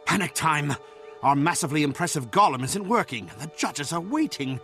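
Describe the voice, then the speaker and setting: An anxious, boastful inventor in a fantasy game. The voice is sharp and somewhat high, leaping around in pitch as he frets. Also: moderate pace, soft background music. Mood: panicked, baffled. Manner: fretful, animated. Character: anxious, boastful male asura golem inventor. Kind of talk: scripted game cutscene acting.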